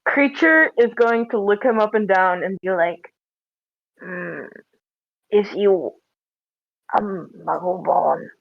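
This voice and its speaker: A woman's voice: mid-range voice, slow, creaky, long pauses, no room sound. A woman casually narrating her character's antics in a tabletop roleplay game. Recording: dull, lo-fi close microphone.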